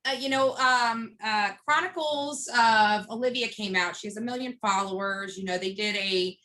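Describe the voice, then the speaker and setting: Bright-voiced woman, emphatic storyteller. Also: mid-range voice, normal speed. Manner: emphatic, blunt, quotes dialogue as she narrates. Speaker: interview guest, street protester. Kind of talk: animated casual storytelling.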